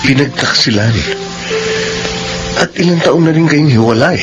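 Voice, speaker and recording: An actor with a Filipino accent playing an earnest, smooth-talking suitor and husband in a melodrama, expressive in delivery. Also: male voice, fairly high pitch, moderate pace, close to an everyday voice. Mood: courting, earnest. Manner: expressive, mixes English into Tagalog. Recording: clear background music.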